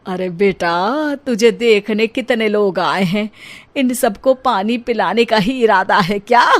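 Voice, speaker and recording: A woman voicing a proud, doting middle-aged mother-in-law in a story, chiding in a drawn-out way. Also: mid-range voice, natural voice. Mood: exasperated.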